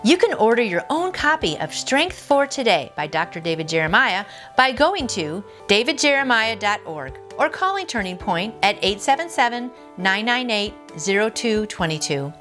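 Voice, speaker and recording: A woman's voice: medium pitch, big pitch swings, normal speed, a bit bright. Female devotional host, mid-range voice, chatty and encouraging, informal asides, music behind. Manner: chatty, encouraging, direct address with rhetorical questions. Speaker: host of a daily devotional, reader. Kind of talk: devotional reading with informal personal commentary. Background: background music.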